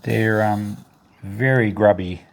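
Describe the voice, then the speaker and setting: A man's voice: on the low side, slow, gravelly. A man hosting a casual unboxing video, thinking aloud in a matter-of-fact way as he sorts through items. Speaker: video host, e-waste recycler and reseller. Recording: noticeable background noise with clicks.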